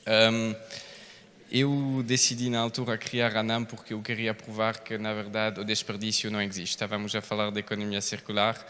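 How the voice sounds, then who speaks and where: Young Belgian founder, male, foreign accent, lowish even-toned voice, speaking informally at a panel in a reverberant hall. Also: normal speed, clear, resonant tone. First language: not Portuguese, a foreign speaker. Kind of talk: informal public talk. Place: large indoor hall, public event. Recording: echoey hall with long reverb.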